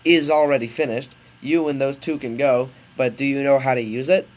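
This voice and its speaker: A man voicing a bumbling, excitable older scientist father in a deliberately bad British accent, with a loud, projected voice. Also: medium pitch, pitched above the performer's own voice, close to an everyday voice. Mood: eager.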